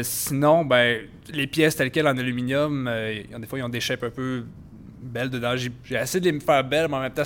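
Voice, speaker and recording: A young man, chatting as a podcast guest in a relaxed, self-mocking way. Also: medium pitch, a little hiss or hum. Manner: relaxed, self-mocking, uses English slang. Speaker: guest, robotics master's graduate. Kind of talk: casual interview chat.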